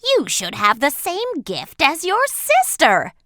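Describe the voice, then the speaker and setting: A woman's voice: sits high, huge pitch swings, slow, sharp tone, no echo, clean studio sound, voice pushed up, full character voice. A woman voicing a harsh, demanding widowed mother in a storybook, with a commanding, emphatic delivery.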